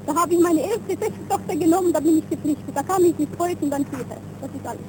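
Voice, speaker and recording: A woman's voice: extremely high pitch, quick pace. A woman giving personal testimony as a refugee in a 1930s newsreel interview. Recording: noisy, humming 1930s newsreel recording.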